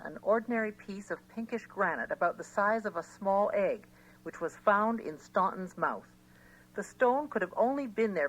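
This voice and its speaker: A woman's voice: medium pitch, poor audio. An actress playing a factual female news reporter, reading a formal scripted report in a radio drama. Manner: formal, factual, clearly phrased.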